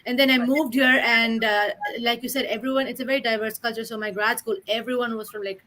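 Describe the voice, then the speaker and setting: A young woman talks freely in an interview, her voice bright and clear and sitting a little high. She leans on fillers and keeps a loose, easy flow. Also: steady tone, brisk. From India. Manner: chatty, many fillers. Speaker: filmmaker and writer. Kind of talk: casual interview answers.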